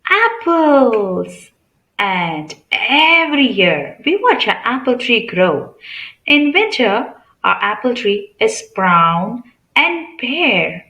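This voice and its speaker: A woman's voice: on the high side, very animated, slow, bright, forceful tone, reverberant, rough recording. A woman reading a picture book aloud to children in a teacherly way, asking questions and explaining simply. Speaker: read-aloud channel host.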